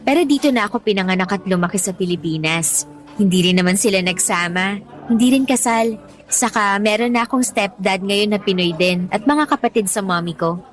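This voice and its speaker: A woman's voice: mid-range voice, a little fast, faint music behind, lightly acted. A woman with a Filipino accent playing a friendly, forthright young woman on a first date in a radio drama, casual and playful. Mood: matter-of-fact, open.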